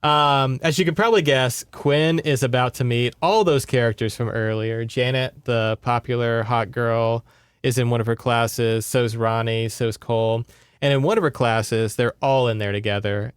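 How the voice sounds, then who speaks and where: A man with a slightly high-set, smooth voice talks in a close, dry recording. He co-hosts a casual book podcast, rambling conversationally through the story with sardonic asides. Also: fairly even intonation, moderate pace, no room sound. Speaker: podcast co-host. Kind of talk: casual book recap chat.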